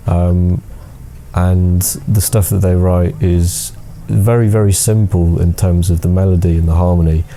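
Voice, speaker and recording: A young man with a thick Scouse accent, a music student answering interview questions thoughtfully, pausing often with ums. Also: low-pitched, steady tone, mellow, slight background noise. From Liverpool. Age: young adult. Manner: thoughtful, hesitant with many ums.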